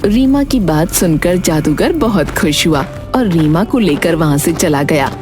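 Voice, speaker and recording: A woman's voice: lowish voice, animated, a little slow. A woman narrating a scripted fairy tale as a storyteller. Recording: music behind the voice. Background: background music.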